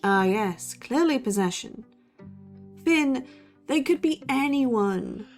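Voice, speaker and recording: A woman's voice: high voice, lively intonation, takes it slowly, soft background music, heavily acted. A woman playing a sceptical, dry-witted young student podcaster in an audio drama. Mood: sardonic. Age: young adult. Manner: sardonic, dry. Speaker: podcast co-host character. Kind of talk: conversational audio drama acting.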